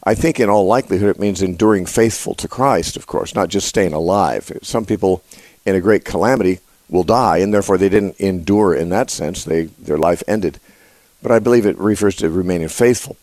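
A man hosts a live call-in Bible program, his voice unusually deep today from congestion. He explains scripture in a halting way, with many fillers and restarts. The sound is close and dry, with no room echo. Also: a little mellow. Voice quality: usually a high nasal voice. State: congested, voice lower than usual. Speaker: radio show host.

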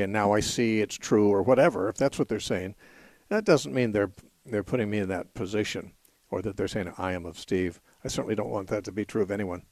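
A man's voice: fairly low pitch, a little mellow, dry recording. Dry-voiced male radio call-in host. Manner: thoughtful, frequent restarts, briskly cuts callers short. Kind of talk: call-in radio Bible talk. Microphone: studio microphone.

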